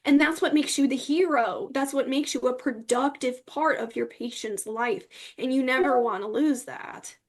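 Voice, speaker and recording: A young woman being interviewed, with a slightly high voice full of vocal fry. She talks candidly, in a personal, conversational way. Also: moderate pace, hardly any pauses. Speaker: interview guest.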